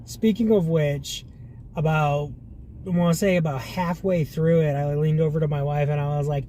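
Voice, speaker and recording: A man's voice: a little high, steady tone, moderate pace. A man from Massachusetts giving a casual, rambling spoken film review from inside a car, often restarting his sentences. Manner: rambling, conversational, frequent restarts. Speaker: movie reviewer. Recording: loud car road noise. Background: car engine and road noise.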